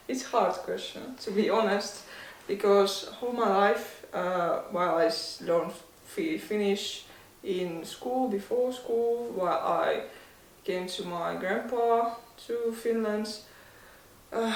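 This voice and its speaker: Slow, hesitant young woman with a Russian accent. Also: on the high side. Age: 20s. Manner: hesitant, thoughtful, laughs often. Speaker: interview guest. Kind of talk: casual interview. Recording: echoey room, mic at a distance.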